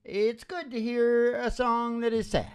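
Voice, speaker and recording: A man's voice: very high voice, flat delivery, unhurried, darkish tone, clean tone. An older man hosting a live radio show, bantering in a casual, rambling, playful folksy way into a stand microphone. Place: small indoor stage at a live show.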